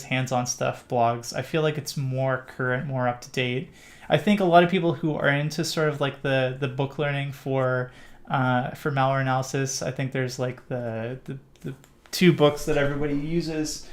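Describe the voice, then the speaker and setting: Gravelly Canadian man rambling casually. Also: a little high. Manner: rambling with many fillers and restarts. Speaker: livestream host. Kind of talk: casual live Q&A chat.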